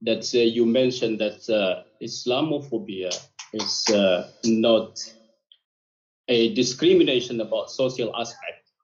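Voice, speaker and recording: A man's voice: medium pitch, a little slow. Polite hesitant man from Indonesia. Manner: polite, hesitant, many fillers. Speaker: audience member asking a question. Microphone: video call. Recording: muffled video call audio.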